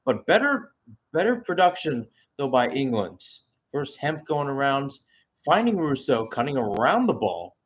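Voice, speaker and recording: A man's voice: mid-range voice, sing-song, measured pace. A man giving live radio-style football commentary as a play-by-play caller, mixing match calls with chatty, conversational asides.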